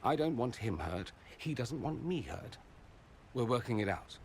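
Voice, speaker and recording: A man's voice: mid-range voice, sing-song, measured pace, slight background noise. A man voicing a witty, urbane mage nobleman in a video game, velvety and flamboyant with a dry, arch delivery. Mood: subdued, wry.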